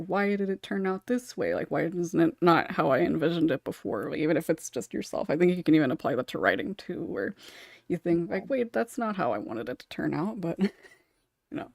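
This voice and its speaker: A woman's voice: low voice, vocal fry, darkish tone, no room sound, clean studio sound. A woman hosting a casual podcast panel over an online call, with an informal, giggly, hesitant manner. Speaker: podcast host. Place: online voice call, dry close recording. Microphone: own microphone with noise suppression.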